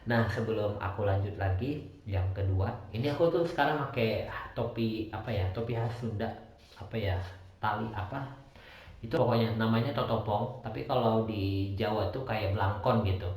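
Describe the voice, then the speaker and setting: A man's voice: fairly low pitch, darkish tone. Chatty young man, very echoey room. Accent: Medan Indonesian, softened. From Medan, North Sumatra, Indonesia. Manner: rambling, many fillers and self-corrections. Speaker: podcast host. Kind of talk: casual solo podcast monologue. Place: small rented room, indoors.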